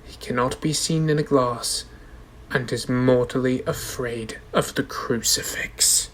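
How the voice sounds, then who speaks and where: A man's voice: mid-range voice, steady tone, unhurried, fairly bright tone, slight background noise. A young man playing a solemn, formal courtroom orator who reads dramatically in a radio drama. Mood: solemn, ominous. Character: solemn courtroom orator reading a dread-filled journal. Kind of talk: scripted radio drama narration.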